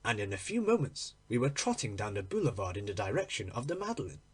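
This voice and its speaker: A man reading a literary story aloud in an expressive, measured, deliberate narrating style. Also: lowish voice, husky. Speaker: audiobook reader.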